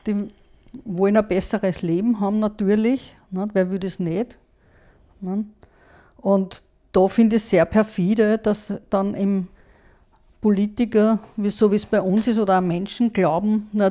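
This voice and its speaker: A woman's voice: mid-range voice, steady tone, unhurried, mellow. A woman with an Austrian accent in a casual political discussion, conversational and often ending phrases with a short tag question. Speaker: podcast panelist.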